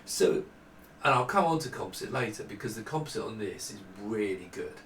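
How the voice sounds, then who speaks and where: A man's voice: on the low side, expressive, a little fast, fairly bright tone. A middle-aged man giving an informal, unscripted product walkthrough, explaining as he goes and often breaking off to rephrase. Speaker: presenter demonstrating hardware prototypes. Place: small indoor workroom with desk. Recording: echoey room, distant mic, faint noise.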